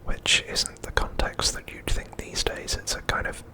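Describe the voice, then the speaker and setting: A man whispering an ASMR narration in a soft, unhurried way, with deliberate phrasing and pauses. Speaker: host of an ASMR channel.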